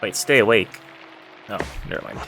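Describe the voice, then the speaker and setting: A man's voice: lowish voice, lively intonation, moderate pace, gravelly, noisy background. A man giving casual let's play commentary in muttered asides as he plays. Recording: music and rain behind the voice.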